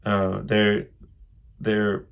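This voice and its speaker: A middle-aged man with a low, gravelly voice talks alone as a podcast host. He thinks aloud in a casual, halting ramble, circling back over his own phrases. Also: monotone. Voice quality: loud voice. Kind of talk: casual solo monologue, personal reflection.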